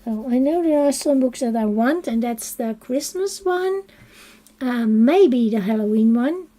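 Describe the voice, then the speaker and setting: A woman with a Dutch accent chatting casually as she colors for a live stream, soft-spoken and unhurried, now and then dropping to a quiet half-whisper. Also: fairly high pitch, expressive, low-quality recording. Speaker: coloring channel host.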